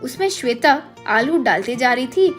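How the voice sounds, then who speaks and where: High-voiced woman narrating a story over music. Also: expressive, moderate pace.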